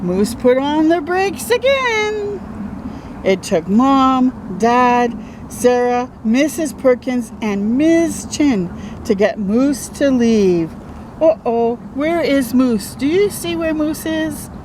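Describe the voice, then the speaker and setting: A woman reading a children's picture book aloud as a storytime host, expressive and chatting to young listeners. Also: high voice, takes it slowly, a little hiss or hum. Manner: expressive, interjects comments and questions to young listeners.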